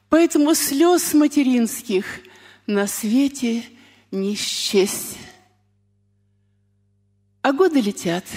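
A woman recites poetry solemnly and very expressively, taking her time over each phrase. Her voice is fairly high and a little husky. It rings with long reverb, as in a large hall. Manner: deliberate, expressive, with drawn-out words and pauses.

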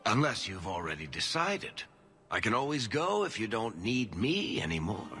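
Sardonic dwarf rogue telling his tale, low voice that swings around a lot, game music behind. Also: very animated, normal speed, bright, forceful tone. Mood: guarded, wry. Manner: sardonic, dramatic storytelling. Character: wry, roguish male dwarf storyteller under interrogation. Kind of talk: video game narration and dialogue. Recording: studio voice over game music.

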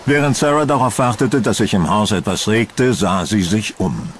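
A man narrating a scripted horror audio drama in a measured, solemn storytelling manner. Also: lowish voice, expressive, a little hiss or hum.

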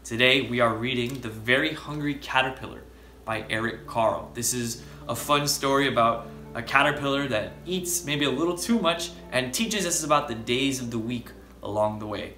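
A young man hosting a children's story time, reading aloud in a deliberate manner and speaking directly to young listeners. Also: mid-range voice, bright, forceful tone. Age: young adult. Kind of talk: read-aloud storytelling for preschoolers. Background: background music.

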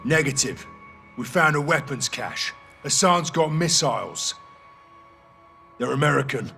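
An actor playing a serious, no-nonsense soldier reporting tersely in a military action game. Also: male voice, a little high, animated, measured pace, creaky, full character voice. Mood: grave. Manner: terse, matter-of-fact. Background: loud game music and gunfire.

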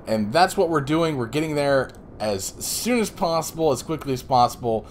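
A man in his thirties, a little hoarse today, casually explaining his moves while playing a space game, with rambling asides. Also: a little high, lively intonation, normal speed, slight background noise. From Northeastern US. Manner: rambling, explanatory, self-deprecating. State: slightly hoarse. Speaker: let's play host. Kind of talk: casual gameplay commentary.

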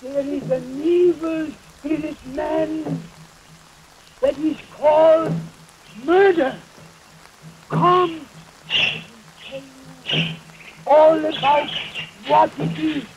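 A man's voice: very high-pitched, fairly even intonation, very slow, pauses often, heavily acted. An older man acting a grave, fearful old witch doctor in a 1930s horror film, solemn and ominous. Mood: grave warning. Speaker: film actor. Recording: noisy 1930s film soundtrack under rain. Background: rain storm.

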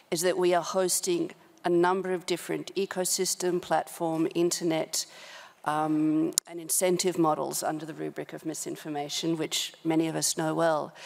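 A middle-aged woman speaking as a panelist at a policy forum, measured and halting, with formal vocabulary, into a desk microphone. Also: sits low, creaky. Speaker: panel speaker, company human rights director. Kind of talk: prepared remarks at a policy forum panel, spoken off the cuff. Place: large indoor conference hall. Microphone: desk gooseneck microphone, close.

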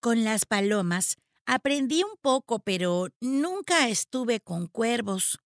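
A woman's voice: a little high, expressive, slow pace, no echo, studio quality. A woman voicing a cheerful, boastful young boy wizard in a storybook, breezy and confident. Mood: explaining.

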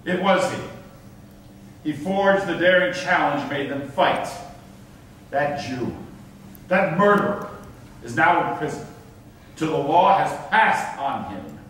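Man acting a high-voiced stern governor, echoey. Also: lively intonation, slow, pauses often, fairly bright tone, recorded from a distance. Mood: grave. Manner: declamatory, authoritative. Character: stern, commanding male governor in Renaissance tragedy. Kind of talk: classical verse stage acting. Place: large indoor auditorium stage. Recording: very echoey, noisy theatre from afar.

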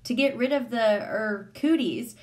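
A woman's voice: on the high side, unhurried, pitched above the performer's own voice, close to an everyday voice. A woman voicing a prim, nervous female schoolteacher in a story, proper and polite in her speech. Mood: prim, uneasy.

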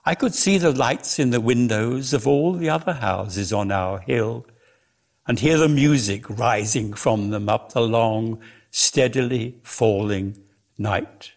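A man giving a measured, expressive dramatic reading of literary prose as a storyteller. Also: mid-range voice, gravelly. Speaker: narrator, reader.

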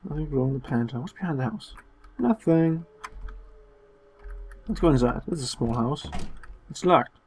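A man giving casual, sarcastic and playful commentary on a horror game, swearing and reading game text aloud between quips, with a husky voice. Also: on the high side, animated, moderate pace, long pauses, darkish tone. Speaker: let's play commentator.

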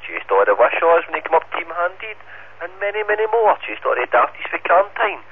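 A man's voice: a little high, very animated, brisk, slightly rough. A Scottish man chatting casually as an amateur radio operator, confrontational and swearing heavily, over a two-way radio. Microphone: radio transceiver. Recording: tinny band-limited radio link, static noise.

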